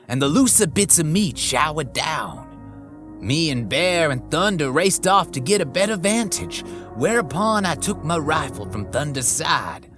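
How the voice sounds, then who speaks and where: A man narrating a horror western story in the first person, with folksy, rough frontier grammar, over background music. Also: fairly high pitch, a bit bright.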